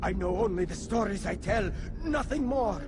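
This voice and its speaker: A man's voice: high-pitched, lively intonation, moderate pace. A man playing a frightened, nervous informant in a video game, pleading for his life. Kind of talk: dramatic video game acting.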